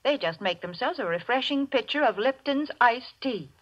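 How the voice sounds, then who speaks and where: A woman announcer delivering a scripted 1940s radio sponsor pitch in a folksy, chatty way. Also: animated, normal speed. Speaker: commercial announcer and co-host. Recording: dull old radio recording.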